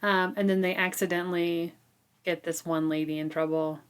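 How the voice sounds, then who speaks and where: A woman podcast co-host with a voice a little on the low side. She talks casually and informally, recapping a book in an easy conversational style. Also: flat delivery, normal speed, clean tone.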